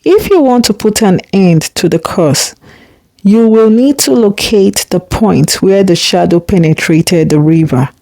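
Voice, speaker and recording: A woman voicing a gentle but commanding river spirit mother in a storybook tale, solemn and measured. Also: mid-range voice, lively intonation, vocal fry, no room sound. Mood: grave warning. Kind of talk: storybook character reading.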